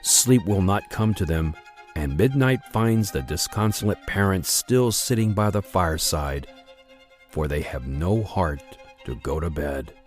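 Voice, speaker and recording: A man narrating an old-time radio drama in an expressive storytelling manner. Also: deep voice, a little slow, soft background music.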